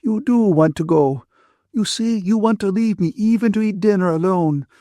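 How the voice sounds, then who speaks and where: A man's voice: high voice, moderate pace, warm tone, no room sound, pitched above the performer's own voice, close to an everyday voice. A man voicing a bitter, tearful woman in an audiobook, indignant, accusing and wounded. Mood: hurt, angry. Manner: accusing, emphatic, aggrieved.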